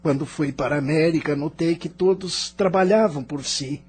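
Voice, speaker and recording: A man's voice: fairly high pitch, normal speed, a little mellow, a little hiss or hum, lightly acted. A Brazilian man acting a bitter, self-justifying spirit in the afterlife in an audio drama, defensive and questioning. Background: music.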